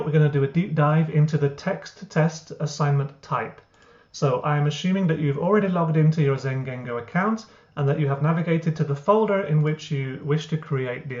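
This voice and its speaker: A man, the founder of a language app, walking through his software step by step in an explanatory way, with frequent uh and um. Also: on the high side. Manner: explanatory, many uh and um fillers. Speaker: software founder giving a tutorial. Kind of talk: screen-recorded software walkthrough. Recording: roomy, dull lo-fi.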